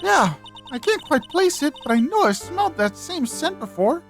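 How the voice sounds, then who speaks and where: A woman's voice: high voice, big pitch swings, normal speed, soft background music, voice pushed up. A woman voicing a distraught, guilt-ridden teenage girl, a fragile spirit medium in a video game, earnest and emotional. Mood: shaken, recounting.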